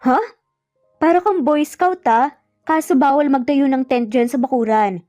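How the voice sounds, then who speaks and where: A woman's voice: high voice, unhurried, dark tone, no echo, clean studio sound. A woman voicing a stubborn, hurt young wife with a curt manner in an audio romance. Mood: exasperated. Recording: muffled lo-fi audio, music bed behind.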